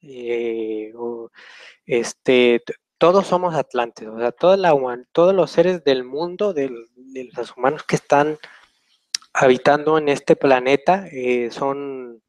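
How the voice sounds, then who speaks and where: A man's voice: mid-range voice, slow pace, darkish tone, no echo. A young man hosting a casual question-and-answer session over a video call from a small room, rambling and hesitant with many fillers. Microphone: webcam on a video call.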